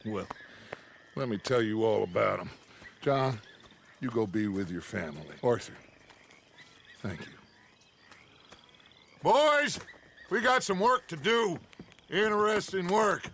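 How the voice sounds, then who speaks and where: An American actor playing a middle-aged, silver-tongued outlaw gang leader in a video game, with theatrical, oratorical delivery. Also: male voice, medium pitch, sing-song, slow, gravelly, long pauses, a bit bright, slight background noise, heavily acted. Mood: genial. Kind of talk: dramatic video game acting.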